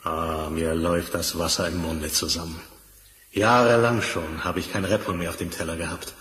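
A man's voice: deep voice, steady tone, normal speed, fairly bright tone. An actor playing a stately, food-loving, grateful king in a fairy-tale radio play. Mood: longing. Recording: echoing hall, slightly noisy old record.

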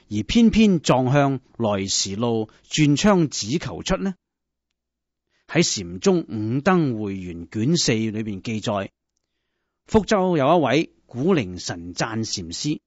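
A man with a Hong Kong Cantonese accent narrating scripted Buddhist stories in a measured, instructive way. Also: mid-range voice, dry recording, dull audio.

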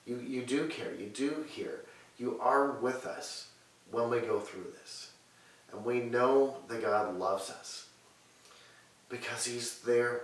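A man in his thirties preaching and praying earnestly from a sofa in a living room, measured and repetitive in his phrasing. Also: mid-range voice, a bit bright, distant mic. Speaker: pastor. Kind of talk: sermon and prayer. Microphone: far camera mic. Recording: echoey room audio with faint hiss.

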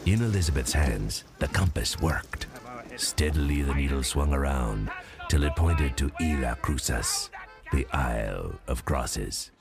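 Very deep, creaky-voiced male narrator. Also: animated, measured pace. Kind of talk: scripted audio drama storytelling. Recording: loud music under the voice.

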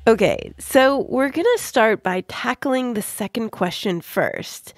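A woman hosting a kids' science podcast, explaining things clearly and simply in a conversational way. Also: on the high side, animated, a little slow, slight background noise. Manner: clear simple explaining, addressing young listeners. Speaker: podcast host.

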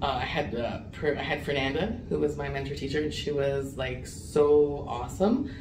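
Enthusiastic woman with a very low voice. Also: normal speed. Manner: warm, enthusiastic praise with fillers. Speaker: practicum student. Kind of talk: interview answers. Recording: distant roomy sound with clear hiss.

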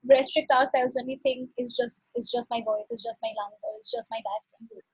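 High, breathy young woman interviewer. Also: sing-song, fairly quick, no room sound, low-quality recording. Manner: hesitant, repeats words and restarts. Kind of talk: interview questions. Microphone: headset on a video call.

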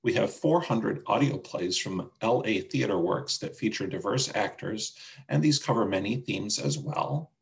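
A man's voice: lowish voice, expressive, moderate pace, creaky, poor audio. A man presenting a product demo with slides as a company representative over a video call. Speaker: senior account manager presenting a product demo. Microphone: video call microphone.

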